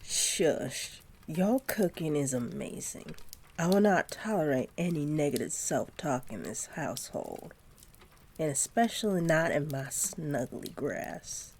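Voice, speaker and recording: A doting young centaur girlfriend, acted by a woman, speaks in a low, dark-toned voice at a slow, unhurried pace. She addresses her partner intimately, soft and affectionate with a gently teasing sweetness, as in a roleplay audio. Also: lively intonation, slightly rough, full character voice. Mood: playful, affectionate.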